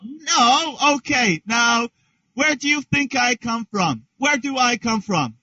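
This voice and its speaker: A man teaching an online English class to young learners, speaking slowly and with exaggerated, encouraging prompts over a video call. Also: very high voice, animated, sharp tone, dry recording, dull audio.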